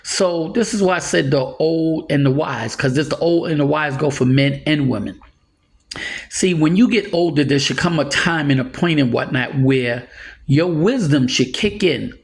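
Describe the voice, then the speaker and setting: Man, 60s, slightly high voice, earnest and rambling advice-giver who chuckles now and then. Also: moderate pace, creaky. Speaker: motivational speaker. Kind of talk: casual advice monologue.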